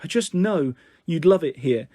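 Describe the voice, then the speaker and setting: Man putting on an excitable, high-pitched fussing mother. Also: normal speed, dry recording, clean studio sound. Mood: fond, fussing. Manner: effusive, fretting. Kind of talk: audiobook character dialogue.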